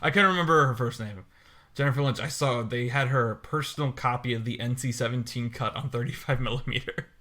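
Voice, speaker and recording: Bright-voiced man bantering wryly. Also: mid-range voice, fairly quick. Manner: wry, bantering. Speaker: podcast panelist. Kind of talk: casual joking chat.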